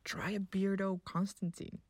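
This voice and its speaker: An actress playing a wry, practical team member in an audio drama, casual and teasing. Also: female voice, medium pitch, little pitch movement, measured pace, dry recording, clean studio sound, close to an everyday voice.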